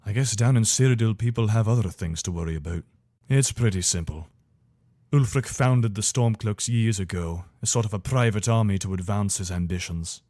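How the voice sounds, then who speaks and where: A man's voice: lowish voice, darkish tone, dry recording, clean studio sound, natural voice. A man playing a loyal, weary imperial soldier in a fantasy video game, speaking in an earnest, conversational, soldierly way. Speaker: voice actor for a game character. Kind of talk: video game character dialogue.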